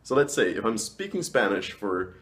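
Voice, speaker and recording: A man's voice: fairly low pitch, big pitch swings, normal speed, a bit bright. A man talking casually as a vlogger giving advice, emphatically stressing and stretching key words. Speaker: language vlogger. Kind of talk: casual advice talk to camera.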